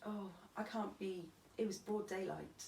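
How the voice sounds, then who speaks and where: A woman with a Welsh accent and a croaky, gravelly voice, telling her own story plainly in an interview. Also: mid-range voice, unhurried, a little mellow. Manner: plain, matter-of-fact telling with restarts. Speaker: interviewee recounting a stabbing. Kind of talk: candid personal testimony. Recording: echoey room, distant mic, faint hiss.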